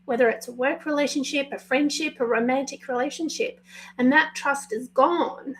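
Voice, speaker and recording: A woman life coach speaking live and unscripted, her voice husky today, talking slowly and choosing her words with care. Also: high voice, steady tone, low-quality recording. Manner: slow, pausing, careful with words, apologetic. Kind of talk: spontaneous live video talk.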